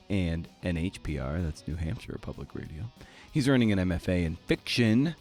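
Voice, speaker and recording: A male podcast host with a slightly low-pitched voice. He talks in a casual, slangy style, relaxed and conversational as he introduces and interviews. Faint music can sit behind his voice. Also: breathy edge. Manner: laid-back, slangy.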